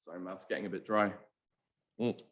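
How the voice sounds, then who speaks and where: Low-voiced Estonian-native male lecturer. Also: steady tone, a bit bright, slight background noise. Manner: halting, hesitant delivery. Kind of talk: online academic lecture. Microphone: video call.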